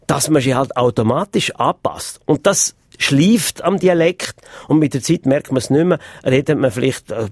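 A Swiss German man answers interview questions at an unhurried pace, often breaking off mid-sentence and starting over. His voice sits at a middle pitch. The recording is dry and close, with no room sound. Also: darkish tone, dry recording. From Graubünden, Switzerland. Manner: hesitant, searching for words with restarts. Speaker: association president interviewed.

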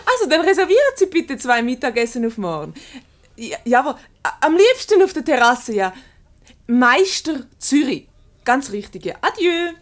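A high, swooping female voice with a Swiss German accent. a mischievous wife in a radio comedy, breezily taking command and teasing as she goes. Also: big pitch swings, moderate pace. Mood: brisk, cheerful. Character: brisk, mischievous wife steering her flustered husband. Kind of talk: radio comedy acting in dialect.

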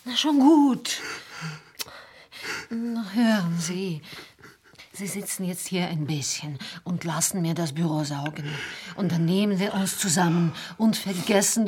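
A very husky, breathy middle-aged cleaning woman in a radio play. She is motherly and anxious, fussing with concern as she talks. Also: fairly low pitch, measured pace, a little mellow. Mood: soothing. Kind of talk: radio drama acting.

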